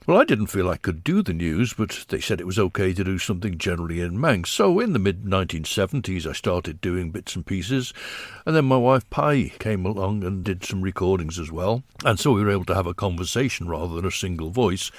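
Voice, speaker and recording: An older man narrating a documentary, looking back on past events in a measured, reflective way. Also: deep voice, dry recording.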